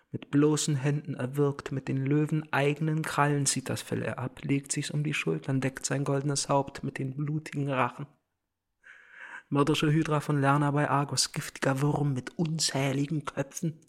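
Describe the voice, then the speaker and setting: A man's voice: medium pitch, lightly acted. A man acting as an impassioned tragic chorus narrator in a theatrical radio play, declaiming myth with obsessive repetitions. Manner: declamatory, repeats phrases obsessively, shifts between whisper and cry. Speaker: actor narrating and reciting. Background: music.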